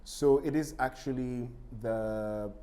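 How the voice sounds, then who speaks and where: A man with a Ghanaian accent talks in a lively, playful way, often breaking into laughter. His voice sits at a middle pitch. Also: slow pace. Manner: animated, laughs easily, playful. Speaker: voice actor and audiobook narrator. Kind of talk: casual interview chat.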